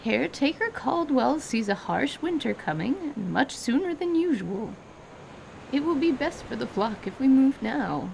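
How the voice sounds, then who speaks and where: A woman voicing a kindly elderly grandmother, gentle and measured, doting but a little stern, in an audio drama. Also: sits high, very animated, vocal fry, slight background noise, pitched above the performer's own voice, exaggerated voice, low-quality recording. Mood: apologetic.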